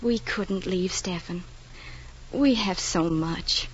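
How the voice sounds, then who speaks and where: An actress playing a steadfast, teasing, loving young wife in gentle, earnest 1940s radio drama style. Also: female voice, fairly low pitch, takes it slowly. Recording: dull old 1940s radio broadcast.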